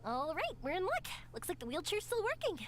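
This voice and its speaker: A young woman streamer reading game dialogue aloud, gentle when reading, loud in her reactions. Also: very high voice, huge pitch swings, brisk, a little hiss or hum.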